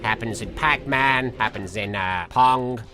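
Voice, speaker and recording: A man's voice: medium pitch, measured pace, sharp tone. A man voicing a silly, self-absorbed young cartoon villain who whines and rambles theatrically through comedic video game commentary, with music behind him. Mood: rambling, silly. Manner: whiny, theatrical, rambling absurd asides. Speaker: in-character let's play host.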